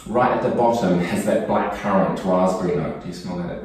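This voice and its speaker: A man's voice: low voice, brisk, a little mellow. A young man who grew up speaking Cantonese, talking thoughtfully and with enthusiasm as a perfume brand co-founder in an office interview. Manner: thoughtful, enthusiastic, some restarts. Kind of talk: conversational interview. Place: office room. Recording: echoey room, distant mic.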